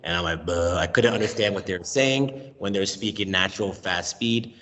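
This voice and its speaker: An American man in his thirties, a language teacher talking as an interview guest, lively and given to acting out voices in his stories. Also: sits low, brisk, long reverb tail, a little hiss or hum. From United States. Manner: animated, acts out voices and accents in his stories. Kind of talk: casual interview talk. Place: remote call from Mexico.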